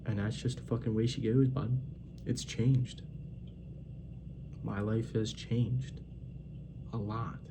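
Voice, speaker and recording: A man's voice: lowish voice, fairly even intonation, slow pace, long pauses, background noise. A man in his twenties giving a blunt, profane, rambling pep talk as a casual monologue. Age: 20s. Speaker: vlogger.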